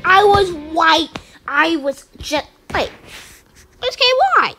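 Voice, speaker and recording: Woman gamer, high lively voice, easygoing pace, clear game music behind. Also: takes it slowly. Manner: excitable, chatty, with sudden high squeals. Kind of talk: casual gameplay commentary.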